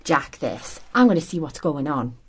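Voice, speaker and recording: An actress playing a plucky, practical, sharp-tongued female archaeologist in an audio drama, teasing and matter-of-fact. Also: deep voice, expressive, moderate pace. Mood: brisk, determined.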